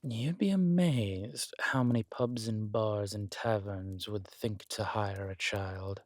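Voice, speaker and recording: A man's voice: on the low side, slow pace, no room sound. A man playing a scheming, flirtatious villain king in an intimate roleplay audio drama, with a languid, teasing, menacing delivery. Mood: wry, teasing.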